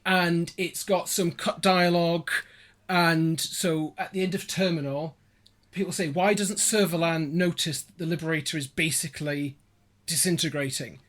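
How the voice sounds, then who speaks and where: A man's voice: fairly high pitch, steady tone, moderate pace, a bit bright. A man speaking as a podcast guest in casual conversation, explaining things in detail. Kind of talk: casual chat.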